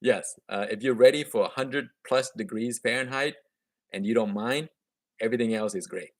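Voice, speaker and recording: A man's voice: mid-range voice, normal speed, no echo. A man in his thirties, a native Vietnamese speaker with a slight accent, hosting a casual live stream from a room at home into a webcam and answering viewer comments in an upbeat, chatty way with frequent restarts. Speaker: gardening channel host.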